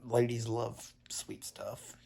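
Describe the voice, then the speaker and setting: Slow, gravelly-voiced man vlogging. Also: medium pitch, little pitch movement, warm tone, a little hiss or hum. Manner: halting, many fillers and restarts. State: congested. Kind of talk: casual vlog chat to viewers.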